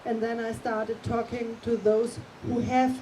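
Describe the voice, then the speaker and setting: A middle-aged woman speaking English with a German accent as a panel guest, pausing often with ums as she searches for words. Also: a little high, level tone, dark tone. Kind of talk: casual panel talk. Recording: distant mic, faint room noise.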